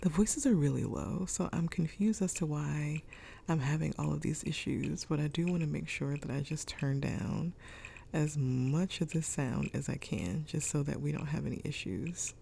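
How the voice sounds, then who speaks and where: A young woman with a raspy voice from a cold, chatting her way through a life-sim game with lots of ums. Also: deep voice, normal speed, warm tone, a little hiss or hum. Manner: chatty, thinking aloud with many ums. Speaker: gaming YouTuber. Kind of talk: casual let's-play commentary. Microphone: desk microphone.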